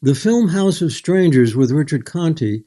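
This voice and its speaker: An older man speaking as a guest author in a reflective literary interview, measured, thoughtful and articulate. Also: on the high side, expressive, warm tone.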